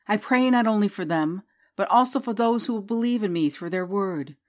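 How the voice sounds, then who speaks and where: A woman leading a guided prayer meditation, reciting scripture in a slow, soothing way. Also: mid-range voice, lively intonation. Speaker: prayer leader.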